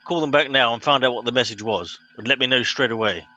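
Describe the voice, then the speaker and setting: A man acts a self-important English spaceship captain in a comedy radio play. He is officious and easily exasperated, with a bright, forceful voice over faint music. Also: medium pitch, quick pace, dull audio, close to an everyday voice. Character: pompous, impatient middle-aged spaceship captain. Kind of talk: comic radio play acting.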